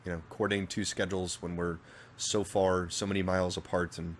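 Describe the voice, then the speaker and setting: Swearing, animated podcast co-host. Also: male voice, low-pitched, a little fast, slight background noise. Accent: General American. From Northern Virginia, USA. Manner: crude, swearing, animated and joking. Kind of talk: casual chat.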